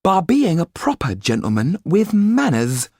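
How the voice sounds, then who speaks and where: A man voicing a brisk, plain-spoken cafe proprietor's wife in a historical audiobook, emphatic and colloquial. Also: fairly high pitch, sing-song, a little mellow, no echo, clean studio sound, pitched above the performer's own voice.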